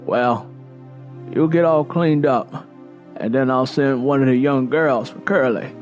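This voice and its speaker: A man's voice: mid-range voice, measured pace, a little mellow, faint music behind, lightly acted. A man voicing an older, nurturing country woman in an audiobook story, folksy and take-charge. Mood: gentle. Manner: folksy, assured.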